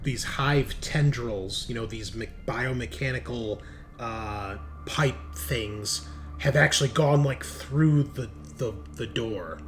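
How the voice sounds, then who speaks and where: A man's voice: medium pitch, slow, faint music behind. A man narrating a tabletop horror game as game master, in a conversational way. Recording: noticeable background noise.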